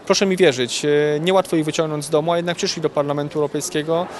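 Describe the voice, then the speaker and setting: A man's voice: fairly high pitch, fairly even intonation, fast. A man reporting in an interview with a hesitant, stop-start delivery. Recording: noisy urban background.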